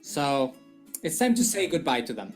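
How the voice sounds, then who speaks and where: A woman's voice: low-pitched, big pitch swings, measured pace, soft background music. A woman hosting a scripted language-lesson video in a playful, jokey manner. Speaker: video host.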